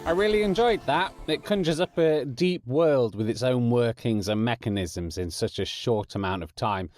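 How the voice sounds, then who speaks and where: A man's voice: mid-range voice, moderate pace, darkish tone, soft background music. A man with an English accent chatting casually to listeners as a podcast host.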